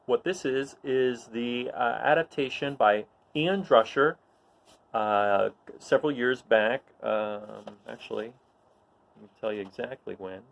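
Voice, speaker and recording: A man casually introducing readings and setting scenes in a halting, conversational way. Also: medium pitch, creaky, a bit bright. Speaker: host, storyteller.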